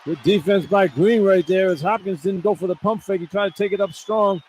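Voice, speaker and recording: A man's voice: high-pitched, brisk, a little mellow, slight background noise. A man giving informal, opinionated color commentary on a live basketball radio broadcast. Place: indoor college basketball arena.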